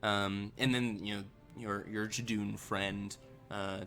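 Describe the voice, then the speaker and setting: Halting man narrating as game master. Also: fairly low pitch, level tone, bright, forceful tone, soft background music. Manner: hesitant, halting, conversational. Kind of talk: improvised tabletop game narration.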